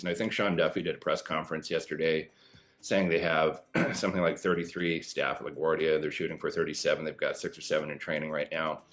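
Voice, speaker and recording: Deep-voiced middle-aged former pilot. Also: male voice, fairly bright tone. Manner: measured, explains with pauses and fillers. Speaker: former pilot commenting on aviation safety. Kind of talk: explanatory news interview commentary.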